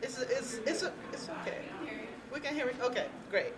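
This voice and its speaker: A woman's voice: sits high, animated, normal speed, very noisy. A young woman from Peru giving a candid, earnest personal testimony as a workshop presenter, with light nervous laughs, in a room with an audience and no handheld mic. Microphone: no handheld mic, room recorder.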